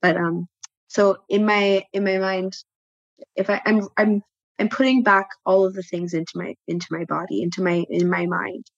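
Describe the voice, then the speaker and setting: Woman, native French speaker, reflective, pauses and restarts, muffled, dry. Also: mid-range voice, level tone, vocal fry, no echo. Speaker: podcast guest, tattoo studio co-owner. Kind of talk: personal interview talk.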